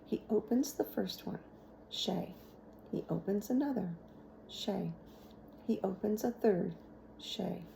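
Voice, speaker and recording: Very creaky, unhurried woman reading aloud. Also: medium pitch, dark tone, a little hiss or hum, rough recording. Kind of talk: audiobook-style narration of a children's novel.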